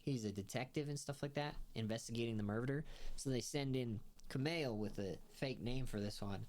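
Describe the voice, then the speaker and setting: A man's voice: medium pitch, normal speed, darkish tone, slight background noise. A young man giving a casual spoken review of a comedy series, rambling with lots of fillers and laughing as he talks. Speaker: show reviewer.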